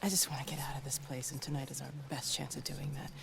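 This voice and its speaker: A woman's voice: extremely low pitch, flat delivery, quick pace, gravelly, background noise, heavily acted. An actress playing a weary, determined female scientist in a video game, speaking firmly and curtly.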